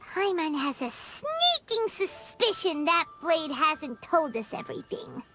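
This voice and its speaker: A woman's voice: very high voice, sing-song, unhurried, music in the background, exaggerated voice. A woman voicing a tiny, chatty floating girl companion in a video game who talks about herself in the third person. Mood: wary.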